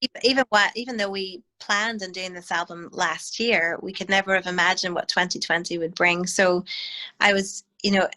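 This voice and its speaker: A woman's voice: fairly low pitch, steady tone, normal speed, pushed and bright, poor audio. A Northern Irish woman in her thirties, a hymn writer talking through an interview over a video call, thoughtful with many restarts. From Northern Ireland.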